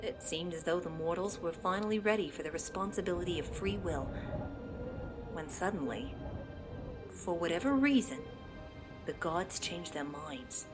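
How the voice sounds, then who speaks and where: A woman voicing an opossum mother in an animated fandub, telling a story with drama and casual, playful asides, easily flustered. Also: on the low side, normal speed, pauses often, natural voice. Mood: earnest, solemn. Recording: loud music behind the voice.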